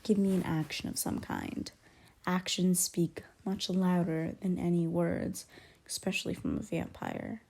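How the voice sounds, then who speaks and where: A woman playing an aloof, grudgingly caring young werewolf in an ASMR roleplay, soft and breathy with whispered dips and blunt, guarded, halting delivery. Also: fairly low pitch, very animated, full character voice. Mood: dismissive. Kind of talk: ASMR roleplay, intimate one-sided character dialogue.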